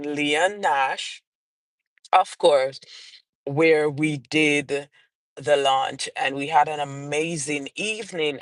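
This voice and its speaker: A woman's voice: low voice, dry recording. A Jamaican woman author answering viewer questions on a casual livestream, repeating phrases as she goes and greeting viewers. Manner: slow and unhurried, repeats and restarts phrases, greets live viewers.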